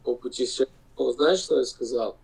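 A Lithuanian-accented man in his forties, a non-native speaker, argues insistently over a video call, stopping to search for words. His mid-pitched voice has a gravelly, creaky texture. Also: darkish tone, poor audio. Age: 40s. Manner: insistent, halting non-native speech with pauses. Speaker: video-chat guest. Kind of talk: heated video-chat argument.

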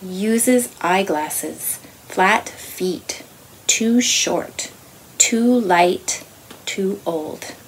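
A middle-aged woman reads literary non-fiction aloud in a deliberate way, phrase by phrase. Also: mid-range voice, breathy edge, rough recording. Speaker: author reading aloud. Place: small room with wooden beamed ceiling.

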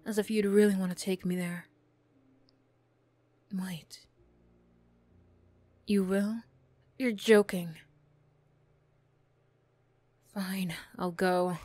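Female actor as flustered ex-bully girl, young adult. ASMR roleplay, slow delivery, bashful stammer, defensive snap. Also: lowish voice, flat delivery, darkish tone, no echo. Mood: shy, surprised.

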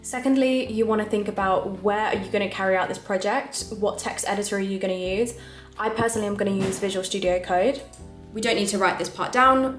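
A young woman at her home desk, talking casually and practically to the camera as she walks through her plan one step at a time. Her voice sits at a middle pitch. The room is echoey, and soft music plays behind her. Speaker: coding vlogger. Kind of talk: casual tutorial talk to camera.

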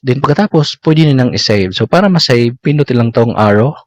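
A man's voice: mid-range voice, expressive, a little fast, a little mellow, dry recording. Filipino man, dull lo-fi tutorial narration. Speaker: content creator giving a tutorial. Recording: dull lo-fi audio.

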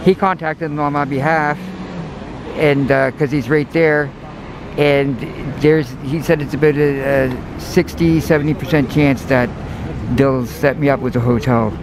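Hesitant soft-spoken man, street noise. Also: fairly high pitch, steady tone, a little slow. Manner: hesitant, many restarts and fillers, soft-spoken. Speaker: interviewee. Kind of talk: halting casual talk. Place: city sidewalk outdoors in winter.

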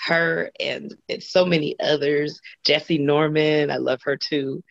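A middle-aged woman from Los Angeles with a husky voice, talking as a guest singer on a video call. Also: low-pitched, steady tone, slow pace, dry recording. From Los Angeles, USA. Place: home room indoors. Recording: dull lo-fi video call audio.

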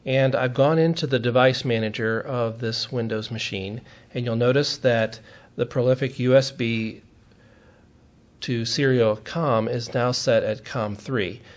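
A man's voice: medium pitch, fairly even intonation, unhurried. A man giving a step-by-step technical demonstration in a methodical, plain-spoken way, as an instructor would.

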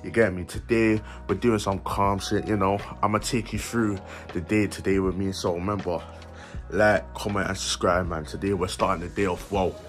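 A young man with a London accent chatting in slang to his phone camera while out jogging, with lively asides. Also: sits low, moderate pace, faint music behind. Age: young adult. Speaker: vlogger. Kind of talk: casual vlog talk to camera. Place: outdoors in a park and streets at dawn, also a bedroom. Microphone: phone held at arm's length.

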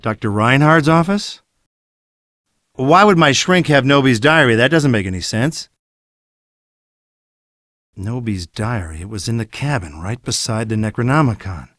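An actor playing a brash, sarcastic American horror hero locked in an asylum, in a video game cutscene. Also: male voice, mid-range voice, animated, unhurried, pauses often, a bit bright, no echo, studio quality. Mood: puzzled.

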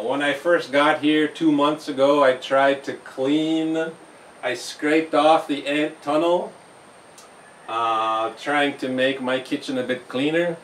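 A middle-aged man with a fairly high voice talks very slowly and gently to a camera across a small brick kitchen. The sound is roomy and distant, with a faint hiss behind it. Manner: slow, gentle, with fillers and restarts. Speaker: home cooking channel host. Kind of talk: casual talk to camera. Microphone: camera mic from across the room.

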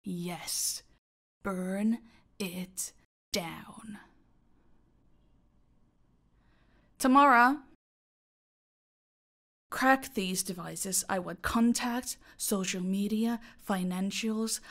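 A woman playing a gloating, menacing female cartel boss in an audio roleplay, commanding and taunting, often dropping to a breathy murmur. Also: mid-range voice, extremely slow, long pauses, a bit bright. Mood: cold commanding.

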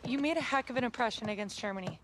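A woman's voice: on the high side, rapid-fire, noisy background, lightly acted. A woman acting an encouraging, seasoned football teammate in a video game, casual and reassuring.

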